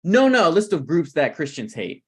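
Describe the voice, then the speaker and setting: A man's voice: on the high side, very animated, normal speed, breathy edge. Man reading as a flippant, awkward screenwriter friend. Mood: wry, teasing. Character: flippant male screenwriter, awkward, needling, self-deprecating friend. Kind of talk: conversational table read of a comedy script.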